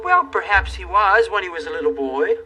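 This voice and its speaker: A man playing a kindly, easygoing handyman in a children's television show, talking in a gentle, folksy, reassuring way. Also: sits high, very animated, fairly quick, distant mic, music in the background, low-quality recording.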